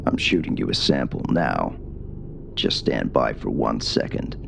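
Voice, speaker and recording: A man playing a gruff, soft-spoken military commander who gives hushed, deliberate instructions in an ASMR radio-call roleplay. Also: extremely low pitch, big pitch swings, background noise, exaggerated voice. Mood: grave, instructing. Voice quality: gravelly, hushed.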